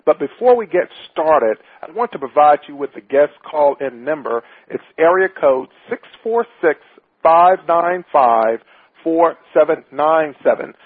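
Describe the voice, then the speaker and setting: A man's voice: on the high side, expressive, a little slow, slightly rough, no room sound. Upbeat man hosting a phone-in show. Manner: upbeat, emphatic, repeats himself. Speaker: radio show host. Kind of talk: hosting an internet radio talk show. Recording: tinny dry phone-line audio.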